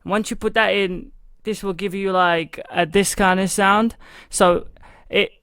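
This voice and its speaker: A man's voice: sits high, fairly even intonation, unhurried, fairly bright tone, smooth, no room sound. A man hosting a music production tutorial in a home studio. He talks loosely through each step into a studio microphone, with lots of "you know" and "like". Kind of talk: casual step-by-step software tutorial. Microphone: studio microphone into a recording channel.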